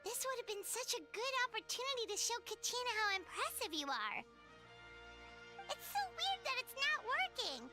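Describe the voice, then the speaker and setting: A tiny childlike game companion with a squeaky, extremely high voice. She is bubbly and outspoken, and her pitch leaps all over the place. Loud playful music runs under her. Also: sing-song, moderate pace. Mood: puzzled. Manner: bubbly, speaks of herself in third person. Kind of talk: anime-style video game voice acting. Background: loud children's-style game music.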